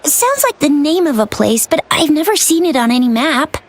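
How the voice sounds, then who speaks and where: A woman's voice: sits high, big pitch swings, normal speed. A woman voicing a cheerful, playful young woman in a video game, with a casual, slangy, enthusiastic way of talking. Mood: admiring, breezy. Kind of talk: video game character dialogue.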